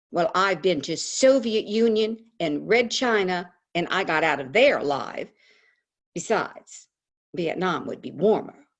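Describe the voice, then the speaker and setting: An actress plays a celebrated advice columnist, a frank and wryly sorrowful woman confiding in a stage monologue. Her delivery is slow, with dramatic pauses and a very lively pitch. The voice is husky. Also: exaggerated voice. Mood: feisty. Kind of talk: theatrical monologue, staged reading. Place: indoors, remote video call. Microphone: video call microphone.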